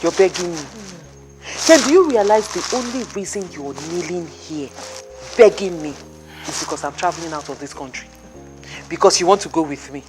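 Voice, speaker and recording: A woman's voice: fairly low pitch, animated, normal speed, music in the background, close to an everyday voice, rough recording. An actress playing a wife who mocks her kneeling husband as she prepares to leave the country. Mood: scornful. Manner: mocking, scornful. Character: defiant wife about to emigrate with her children.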